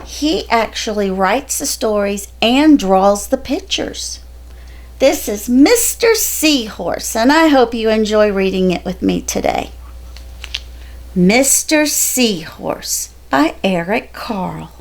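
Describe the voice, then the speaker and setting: A woman reading a children's picture book aloud in a deliberate storytelling manner. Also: a little high, animated. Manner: slow, deliberate, storytelling delivery. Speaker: storybook reader.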